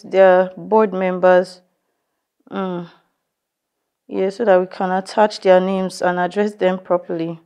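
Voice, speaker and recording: A weary young mother with a Nigerian accent, played by a woman, ranting about her endless chores and quickly apologizing. Her voice sits at an ordinary middle pitch. She sounds stretched thin and quick to snap. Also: warm tone, clear, resonant tone. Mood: apologetic. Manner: venting, scolding, quick to apologize. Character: overwhelmed young mother, tired and short-tempered. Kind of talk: scripted skit acting.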